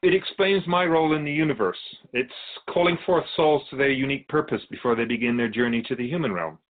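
A man playing an otherworldly, patient keeper of souls in a radio drama, speaking in measured, formal phrases with gentle questions. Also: mid-range voice, close to an everyday voice. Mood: patient, explaining. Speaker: guest on a radio show. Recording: thin phone-like band-limited audio.

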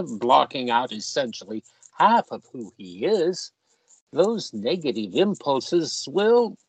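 An actor playing a grave, worried gentleman in a radio play, solemn and measured, with a husky voice. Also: a little high, dry recording, full character voice, poor audio.

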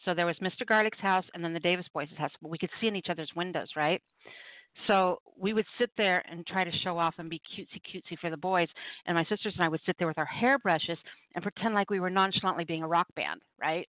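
A woman's voice: fairly low pitch, fast talker, dry recording. A middle-aged woman hosting a call-in poetry radio show, chatting casually with playful, self-deprecating banter and storytelling. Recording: muffled, dry radio call-in line.

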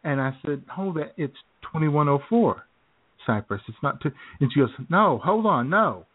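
A man co-hosting a chat show, telling a story in a relaxed way, often chuckling and clearing his throat. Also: on the high side, normal speed. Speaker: podcast co-host. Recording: phone-like lo-fi audio.

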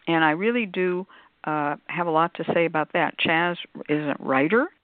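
A woman's voice: sits low, normal speed, no room sound. A woman hosting a casual live talk show, chatty and effusive, with emphatic asides. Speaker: radio show host. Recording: phone-like, narrow-band sound.

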